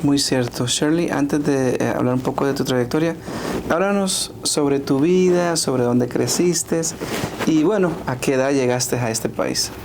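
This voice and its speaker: Soft-spoken Salvadoran man hosting a podcast. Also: mid-range voice, a little slow, background noise. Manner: frequent fillers, affirming interjections. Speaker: podcast host. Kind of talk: conversational interview.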